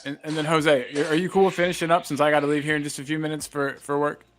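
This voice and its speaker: A man's voice: fairly high pitch, level tone, quick pace, a bit bright, soft background music. A man chatting casually on a podcast, hesitant and full of fillers as he thinks aloud over a video call.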